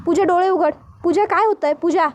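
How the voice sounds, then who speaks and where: A woman's voice: very high voice, brisk, a little mellow, muffled recording, slight background noise. A woman playing a hesitant earnest young husband.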